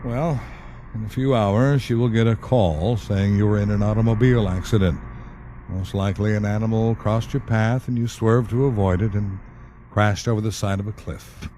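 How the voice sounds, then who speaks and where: A man acting a calm, solemn afterlife agent in an audio play, measured, gentle and matter-of-fact. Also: on the low side, a little hiss or hum, lightly acted.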